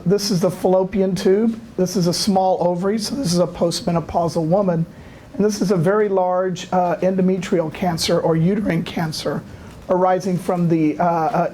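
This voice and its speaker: A man giving a lecture, hoarse from a cold, halting often with filler sounds and clearing his throat. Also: high-pitched, darkish tone, slight background noise. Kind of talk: academic lecture.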